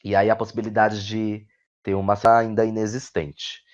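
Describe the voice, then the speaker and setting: A man with a Brazilian accent answering in a conversational interview, reflective and halting, often adding little tag questions. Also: lowish voice, little pitch movement, highs cut off. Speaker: guest playwright and actor. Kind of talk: conversational interview answers about own work.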